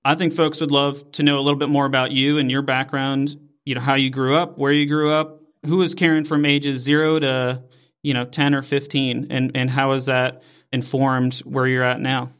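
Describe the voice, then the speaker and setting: A man's voice: mid-range voice, flat delivery, moderate pace, a bit bright, clean tone. A man hosting a business podcast, reading a formal scripted introduction and asking questions. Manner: polished, formal. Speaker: podcast host.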